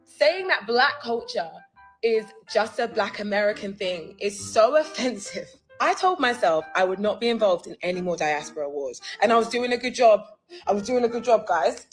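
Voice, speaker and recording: A woman's voice: medium pitch, lively intonation, normal speed. Woman, social media commentator, earnest, explaining, faint background music. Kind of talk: direct-to-camera social video.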